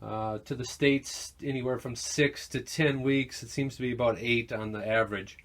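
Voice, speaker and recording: A man giving a casual, informal product demo of audio gear, halting a little as he thinks aloud. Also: mid-range voice, steady tone, a bit bright. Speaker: gear seller demonstrating vintage audio equipment. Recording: noticeable background noise, amateur demo recording.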